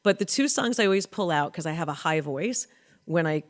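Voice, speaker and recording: A woman's voice: on the low side, animated, brisk. Chatty woman host telling stories. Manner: laughing, storytelling. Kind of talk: casual chat. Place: home studio with a lit backdrop. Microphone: studio mic on a boom arm.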